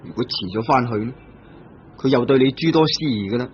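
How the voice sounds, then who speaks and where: An actor with a Hong Kong Cantonese accent playing a carefree young unmarried clerk in an old radio drama, teasing and then gently scolding his cousin. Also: medium pitch, brisk, lightly acted. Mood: coaxing. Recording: dull old radio broadcast with faint hiss.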